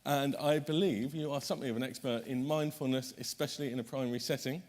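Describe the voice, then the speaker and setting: A man's voice: medium pitch, steady tone, fast, darkish tone. A man chairing a conference panel, introducing the speakers in a chatty way, correcting himself often and making light jokes.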